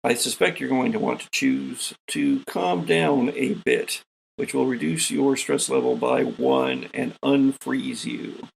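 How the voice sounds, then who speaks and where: A man narrates a tabletop game as its game master. His voice is very creaky and unhurried, with a deliberate, explanatory delivery. There is faint noise in the recording. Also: medium pitch, fairly even intonation, poor audio.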